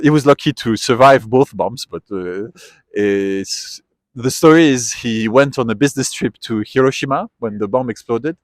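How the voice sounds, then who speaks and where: A man's voice: medium pitch, no room sound. Reflective man, dry close sound. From Switzerland. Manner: thoughtful, reflective, trailing off and restarting. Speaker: interviewee, tourist. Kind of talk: casual street interview. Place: outdoors at a memorial site.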